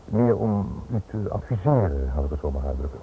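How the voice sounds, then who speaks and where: An actor playing a guarded Dutch police inspector in a radio play, formal, measured and quietly insistent, on an old recording. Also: male voice, low voice, lively intonation. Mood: polite, guarded. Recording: muffled phone-like old radio recording, noisy.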